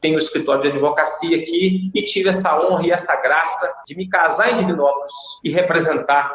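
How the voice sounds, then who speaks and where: Talkative middle-aged guy from salvador, kinda high voice, roomy echo around him. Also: steady tone, a little fast, fairly bright tone. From Salvador, Brazil. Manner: talkative, expansive, jokey. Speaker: lawyer, guest. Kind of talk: casual interview talk.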